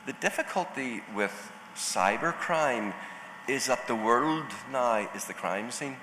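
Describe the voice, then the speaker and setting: A man from Northern Ireland with a Northern Irish accent, speaking deliberately as a panelist at a conference. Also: medium pitch, animated, background noise. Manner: deliberate, enunciating carefully. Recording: echoey hall with faint ambience.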